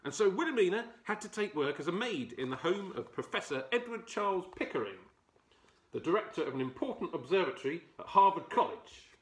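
A man in his forties doing a playful, expressive storytime read-aloud from a children's book. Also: high voice, fairly quick, slight background noise. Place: furnished living room, indoors.

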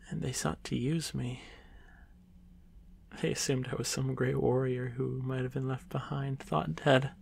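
Man playing a shy, creaky-voiced monster boy. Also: medium pitch, little pitch movement, heavily acted. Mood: shaken, apologetic. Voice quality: soft, breathy, creaky. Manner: halting, stammering, often dropping into whispers. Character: shy, nervous male drider monster boy, haunted and grieving. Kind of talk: ASMR fantasy audio roleplay.

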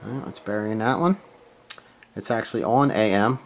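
A man giving a casual, hands-on gear demonstration, halting and unscripted as he thinks aloud from behind the camera in a room at home. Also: fairly low pitch, a little hiss or hum. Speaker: amateur radio hobbyist, presenter. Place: small room at home, indoors.

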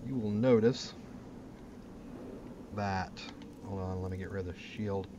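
A man hosts a gaming video in a fairly low voice. He comments casually on his play in a plain, folksy way. Game ambience is clearly audible behind him. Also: unhurried, dull audio. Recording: clear background game noise.

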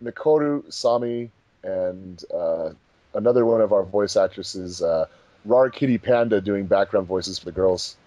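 A man's voice: sits low, measured pace, dark tone, no room sound. A man casually explaining things with enthusiasm on a commentary track, as one of its makers. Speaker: fandub producer and voice actor.

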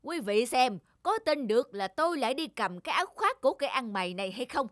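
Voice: medium pitch, sing-song, fast, a bit bright, no echo, clean studio sound. An actor playing a greedy, callous male innkeeper in a cartoon, talking in a sly, mocking manner. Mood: scornful. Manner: sly, scornful.

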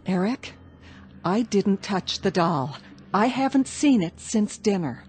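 A woman's voice: medium pitch, expressive, takes it slowly, a little mellow. A woman playing a weary, worried, reproachful wife and mother in an American radio drama, speaking earnestly. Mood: weary, pleading. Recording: music underneath.

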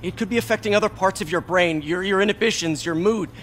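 A man's voice: high voice, quick pace, a bit bright, a little hiss or hum. An actor playing an earnest, caring young male lab scientist, speaking naturally and hesitantly. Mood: worried. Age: young adult.

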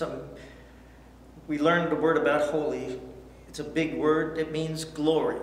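A middle-aged man teaching a Bible lesson to children, reading scripture aloud and asking questions in an earnest way. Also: on the high side. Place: church studio set with backdrop, indoors. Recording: echoey hall, faint hiss.

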